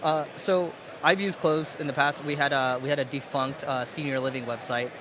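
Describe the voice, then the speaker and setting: A man hosting a podcast interview on a conference stage, asking brisk questions with short acknowledgments. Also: medium pitch, steady tone. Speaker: podcast host. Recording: noisy event background.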